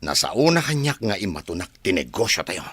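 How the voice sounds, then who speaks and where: Bitter, insistent son for TV drama; Ilocano accent, forceful bright voice, close dry sound. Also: male voice, low voice, big pitch swings, brisk, breathy edge, no room sound, heavily acted. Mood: bitter, demanding. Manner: confrontational, insistent. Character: resentful adopted adult son, demanding and bitter. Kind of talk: Ilocano television drama acting.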